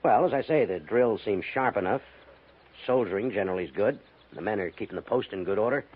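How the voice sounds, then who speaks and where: Formal army major on tinny old radio. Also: male voice, on the low side, animated, breathy edge. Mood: officious, stern. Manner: formal, measured, officious. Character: male army major, formal, exacting post commander. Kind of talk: 1950s radio western acting. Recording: narrow, hissy 1950s radio recording.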